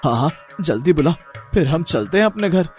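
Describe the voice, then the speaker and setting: A man plays a poor but joyful village husband in an animated moral tale. His voice is fairly high and swoops expressively, eager and reassuring, over soft background music. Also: fairly quick, natural voice. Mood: happy, reassuring. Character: cheerful, humble poor jalebi seller, loving village husband. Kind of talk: Hindi animated moral story voice acting.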